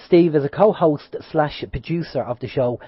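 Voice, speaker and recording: A man co-hosting an internet radio show, chatting casually with a sore throat that leaves him a little nasal. His voice sits a touch high. The sound is dry and dull, like a lo-fi studio feed. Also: normal speed, husky, no echo. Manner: chatty, rambling, lively openings then trailing off.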